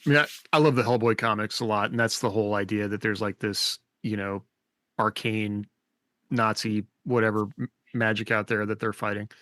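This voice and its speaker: A man's voice: lowish voice, fairly even intonation, normal speed, pushed and bright, dry recording. A middle-aged man co-hosting a podcast, chatting casually and thinking aloud with questions. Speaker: podcast co-host. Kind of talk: casual panel chat about writing fiction.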